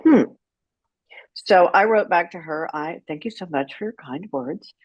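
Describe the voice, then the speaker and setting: A middle-aged American woman telling candid personal stories as an interview guest, frank and unfiltered, with dramatic pauses for emphasis. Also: fairly low pitch, animated, no echo, rough recording. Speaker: guest, writer and former radio personality.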